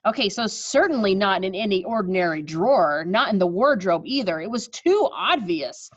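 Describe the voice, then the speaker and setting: A woman teacher reading a storybook aloud to children, expressive and encouraging, over a dull video call. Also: mid-range voice, normal speed. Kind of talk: storybook read-aloud with chat to pupils. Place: online video class.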